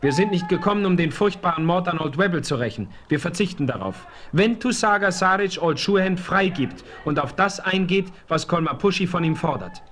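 A calm, commanding chief in an old radio drama. His voice sits high and moves a lot. He refers to himself in the third person. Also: brisk, hardly any pauses, a little hiss or hum. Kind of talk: 1970s radio play acting.

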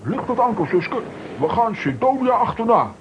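A man's voice: high voice, big pitch swings, normal speed, lo-fi sound, noisy background. A man playing a bossy, joking boat skipper in a children's radio play, hearty and commanding.

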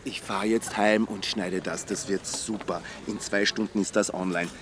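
An actor playing an eager, self-important small-town reporter with an Austrian accent in a radio drama, gushing and pompous. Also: male voice, on the low side, steady tone, normal speed, noisy background, lightly acted. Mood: pleased, chatty. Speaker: amateur local video reporter.

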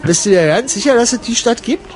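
A man playing a bored, contrary travel companion, deadpan and colloquial, in a conversational radio play. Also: very high voice, brisk.

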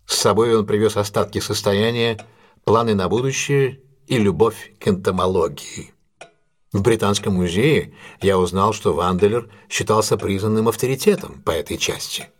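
Casting: analytical detective in an audio drama, husky voice, lively intonation, emphatic and assured explanations. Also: male voice, on the low side. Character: brilliant male detective recounting a solved case, assured, analytical. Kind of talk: audio drama dialogue, expository monologue.

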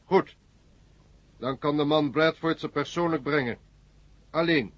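An actor in a 1970 radio drama playing a cold, commanding alien machine brain, curt and imperious. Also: male voice, fairly high pitch, unhurried, dry recording. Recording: muffled old radio recording.

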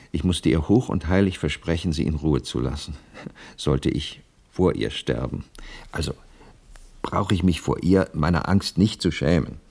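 An actor playing an anxious, earnest widower in a German radio play, pleading and insistent, with a husky voice. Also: male voice, low voice, a little mellow, exaggerated voice. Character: anxious, earnest widower bent on summoning dead wife.

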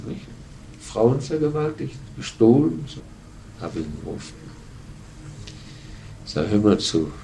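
Callous old war criminal, animated pitch, clipped offhand delivery, radio docudrama, slight background noise. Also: male voice, creaky, rough recording. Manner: blunt, offhand, clipped fragments and acted-out quotes. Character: callous old former SS officer recounting war crimes.

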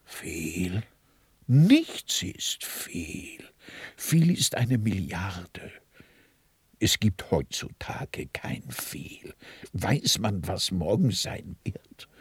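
An actor playing an excitable, cynical wheeler-dealer in a radio play, with a husky, breathy voice. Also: male voice, deep voice, drawn-out words, no echo, heavily acted. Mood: scornful, hushed. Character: excitable, cynical hotel-bar speculator, worldly wheeler-dealer. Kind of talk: German radio play acting.

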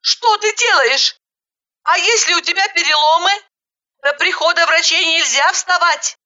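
A woman voicing a frantic, sharp-tongued woman in an audiobook, shrieking and indignant. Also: very high-pitched, a little slow, muffled recording, pitched above the performer's own voice, heavily acted. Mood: worried.

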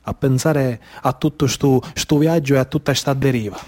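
A man's voice: medium pitch, normal speed, darkish tone. A man with a Sicilian accent delivering a theatrical narrative monologue in dialect. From Sicily, Italy. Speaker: actor and storyteller.

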